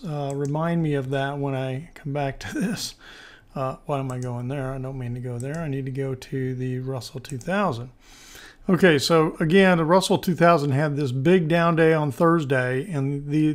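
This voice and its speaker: A man with a hoarse voice giving informal stock market commentary as host, thinking aloud in a halting, conversational way. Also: fairly high pitch. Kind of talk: informal technical analysis commentary.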